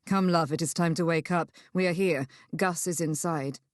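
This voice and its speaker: A woman voicing a gentle, resolute gentleman in a Regency romance audiobook, soft-spoken and measured. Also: on the low side, no room sound, clean studio sound, voice pushed down, natural voice. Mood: tender.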